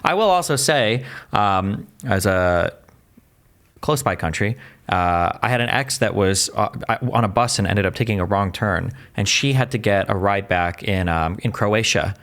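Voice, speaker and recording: A man's voice: fairly low pitch, animated, measured pace, fairly bright tone. A man hosting a podcast in casual conversation, wording things loosely with lots of fillers. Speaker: podcast host.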